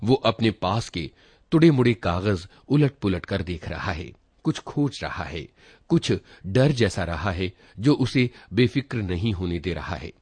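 A man narrates a literary short story in a fairly low, husky voice. His delivery is dramatic and reflective, with very lively swings in pitch, as he reads in the first person. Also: a little slow, no room sound. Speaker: story reader.

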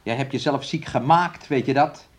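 An actor playing a domineering, resentful young fiancé in a radio play, with a taunting, needling way of talking. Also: male voice, mid-range voice, moderate pace, close to an everyday voice. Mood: belittling. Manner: speaks of himself and her in third person, taunting.

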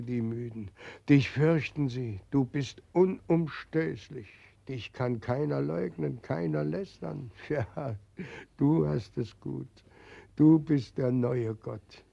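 Brief: a weeping old god nobody believes in, slow, husky and gravelly, dull old radio recording. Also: male voice, medium pitch, dark tone, full character voice. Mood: bitter. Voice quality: tearful, lamenting. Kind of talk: 1940s radio drama acting.

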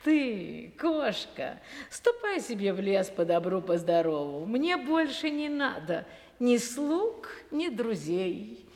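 A woman's voice: mid-range voice, big pitch swings, takes it slowly, a little mellow. A woman playing a wary, firm, mocking cave housewife in an audio fairy-tale play. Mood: dismissive. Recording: long reverb.

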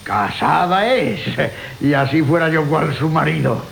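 An actor playing a sly, gossipy go-between in a classical radio comedy, wordy, theatrical, mocking and persuasive. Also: male voice, a little high, expressive, measured pace, vocal fry, a bit bright, heavily acted. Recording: hissy old radio broadcast.

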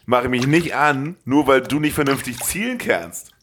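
A man's voice: medium pitch, animated, moderate pace, fairly bright tone, slight background noise, natural voice. A man voicing a cocky, defensive film janitor who needles and protests. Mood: mocking, indignant.

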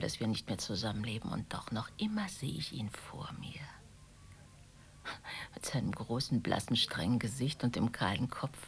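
An actress playing an anxious, chatty, apologetic middle-aged shopkeeper in a radio drama, rambling on politely and breathily. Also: female voice, a bass voice, vocal fry, exaggerated voice.

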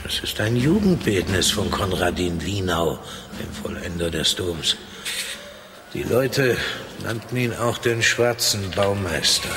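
A man's voice: low voice, expressive, a little slow. An actor playing a stern, reluctant cathedral watchman in a radio drama, formal and guarded. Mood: guarded, solemn. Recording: long chapel reverb, faint background noise.

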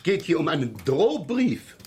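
An actor playing a timid, easily affronted would-be poet in a vintage German radio crime drama. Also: male voice, fairly high pitch, big pitch swings, a little slow, mellow. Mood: nervous, affronted. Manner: hesitant, protesting. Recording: old radio recording with clear hiss and clicks.

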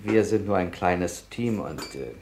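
An actor playing a bossy, enthusiastic, middle-aged TV director who explains and commands in a naturalistic radio play. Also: male voice, low voice, animated, a little slow, darkish tone, a little hiss or hum. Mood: explaining. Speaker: TV film director character. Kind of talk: naturalistic radio drama acting.

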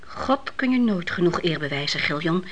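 A woman playing an older widowed mother in a radio play, grieving, solemn and firm in her faith, with a husky, often hushed voice. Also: fairly low pitch, lively intonation, normal speed, close to an everyday voice. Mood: devout, firm. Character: grieving, devout old widowed mother, stern and unyielding in faith.

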